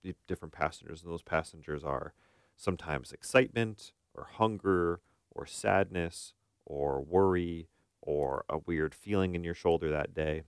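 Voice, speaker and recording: Very deep-voiced man, podcast co-host. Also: normal speed, clear, resonant tone, no echo, clean studio sound. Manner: explains through analogies and acted-out quotes. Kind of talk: conversational podcast discussion.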